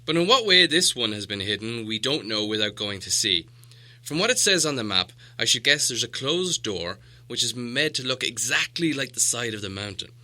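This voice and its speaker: A man's voice: mid-range voice, lively intonation, normal speed, pushed and bright. A man voicing a stern, commanding old wizard in a storybook reading, emphatic and authoritative. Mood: measured.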